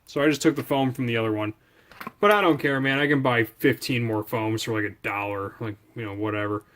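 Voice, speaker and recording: A man's voice: medium pitch, fairly quick, a bit bright, a little hiss or hum. A man doing a casual, unscripted product review, rambling informally and thinking aloud as he handles things. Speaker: product reviewer hosting a video channel. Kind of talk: casual unscripted unboxing chat.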